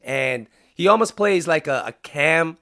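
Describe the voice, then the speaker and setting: Sick raspy gamer guy, kinda high-pitched, sharp bright tone, lots of ums. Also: expressive, unhurried. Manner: casual and chatty, with frequent "um" fillers. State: under the weather, raspy. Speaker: YouTuber. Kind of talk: gaming commentary.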